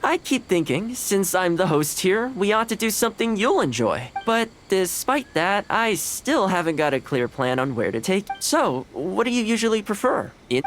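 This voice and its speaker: A man's voice: sits high, sing-song, moderate pace, few pauses, a bit bright, soft background music. An actor playing a friendly, easygoing young male housekeeper in an English-dubbed anime game, speaking in a casual, considerate way. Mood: friendly, upbeat.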